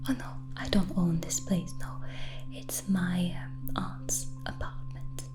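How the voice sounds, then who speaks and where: A woman whispering in a hushed, gently hesitant way, playing a hospitable witch in an ASMR roleplay. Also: on the low side, fairly even intonation, slow pace. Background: background music.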